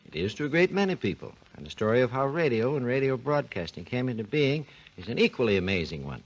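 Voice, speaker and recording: A man, a research engineer and guest, explains his subject in a measured, lecturing way on a scripted 1940s radio talk program. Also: medium pitch, sing-song, muffled recording.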